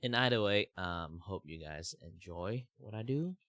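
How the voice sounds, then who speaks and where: Young male streamer, deep and slightly sick-sounding, casual rambling talk, dry mic. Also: animated, moderate pace, a bit bright, no room sound. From Malaysia. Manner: rambling, many fillers and restarts. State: slightly sick, rough throat. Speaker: art streamer.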